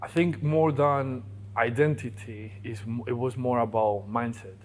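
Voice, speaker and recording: A young man from Colombia, a podcast guest telling his life story in casual, animated talk. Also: mid-range voice, a little slow, slight background noise. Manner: animated, laughs while telling stories.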